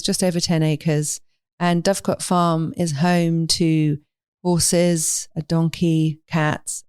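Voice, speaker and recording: A woman from London with a London English accent, talking thoughtfully and in a measured way as an interview guest. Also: deep voice, darkish tone, smooth, dry recording, studio quality. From London, England. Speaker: podcast guest, animal sanctuary owner. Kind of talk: reflective interview conversation.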